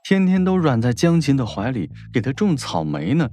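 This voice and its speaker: A man's voice: mid-range voice, very animated, normal speed, mellow. A man reading a novel as a dramatic scripted narration. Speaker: audiobook narrator.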